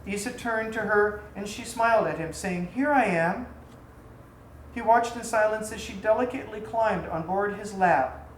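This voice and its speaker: A woman narrates a literary tale in a theatrical, deliberate way. Her voice sits at a medium pitch. The recording is echoey, like a bare room, with faint noise behind her. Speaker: storyteller reading aloud. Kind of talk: dramatic literary story narration.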